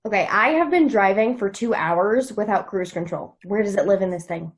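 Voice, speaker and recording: A woman's voice: mid-range voice, moderate pace, breathy edge. A woman acting a breezy, sassy young woman in a table read of a television drama, with casual teasing delivery. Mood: mildly exasperated. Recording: video call table read.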